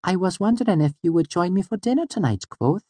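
Man voicing a tiny, whimsical, high-pitched waif girl. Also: expressive, fairly quick, no echo. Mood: earnest, gentle. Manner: wondering, gravely precise, softly chiding. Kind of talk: audiobook character voice.

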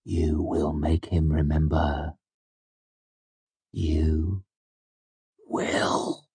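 A man voicing a sly fey helper who swings between low, fierce urging and breezy cheer. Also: flat delivery, drawn-out words, dark tone, slightly rough, no echo, exaggerated voice.

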